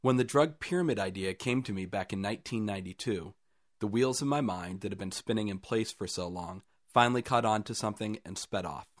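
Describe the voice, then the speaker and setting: Low-voiced man reading a story. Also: brisk, no echo, clean studio sound. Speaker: reader of a short story. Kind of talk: literary reading.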